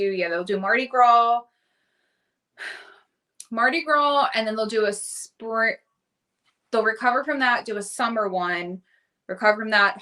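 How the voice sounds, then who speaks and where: Bright-voiced woman with a Baltimore accent. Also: medium pitch. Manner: rambling, full of "like" and "um", quick self-corrections. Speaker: podcast co-host. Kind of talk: casual chat.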